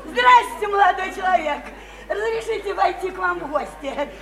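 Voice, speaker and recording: Loudmouth tipsy painter woman, super high pitched, teasing and folksy, radio play, roomy sound w faint crowd. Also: animated, normal speed. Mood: flirty, playful. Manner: flirty, teasing, folksy and slangy. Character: brash, boozy, chattering widowed house painter, a woman. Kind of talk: theatrical radio play acting.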